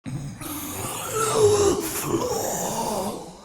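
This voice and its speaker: Distant, echoing, eerie magical voice. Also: male voice, very high-pitched, wildly swooping pitch, drawn-out words, heavily acted. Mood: eerie intoning. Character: eerie, slow magical voice intoning cryptic warnings. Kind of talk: slow incantatory video game acting. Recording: echoey, distant, very noisy ambience.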